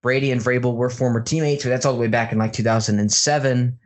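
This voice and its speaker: A man with an American accent hosting a casual, jokey podcast, telling news stories in an informal, animated way. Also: mid-range voice, fast talker. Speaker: podcast host. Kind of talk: casual comedic news banter.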